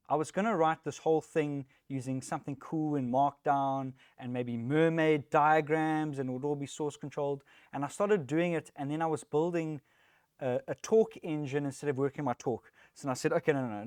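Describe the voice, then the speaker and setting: South African man giving a relaxed tech talk. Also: fairly high pitch, no echo, clean studio sound. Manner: relaxed, chatty, self-deprecating, many ums. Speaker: conference speaker, technical lead. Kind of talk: casual tech meetup talk. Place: meetup room.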